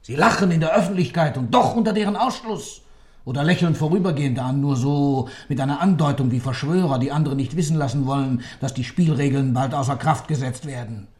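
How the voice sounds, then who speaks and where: An intense man under questioning in a radio drama, played by an actor. His mid-range voice is fervent and insistent, swinging between loud outbursts and hushed, obsessive explanation. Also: mid-range voice, brisk, natural voice. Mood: fervent, agitated. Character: intense, obsessive accused man justifying himself. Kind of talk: German radio play acting.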